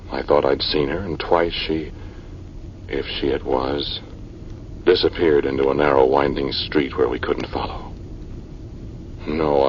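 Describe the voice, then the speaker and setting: An actor playing a haunted archaeologist in a 1940s radio drama, telling his eerie story in a brooding, deliberate, dramatic manner. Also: very deep voice, slight background noise. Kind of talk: 1940s radio acting, first-person narration. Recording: muffled vintage radio recording, music underneath.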